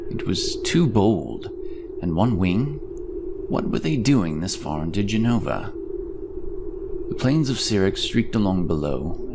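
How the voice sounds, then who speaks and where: A man narrating a scripted fantasy audio story in a measured, dramatic way. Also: deep voice, background noise. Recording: music behind the voice. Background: music.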